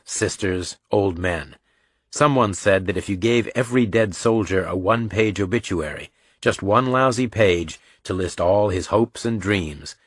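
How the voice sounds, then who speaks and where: Male audiobook narrator, lowish pitch, dry close-miked scripted storytelling. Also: a bit bright, dry recording.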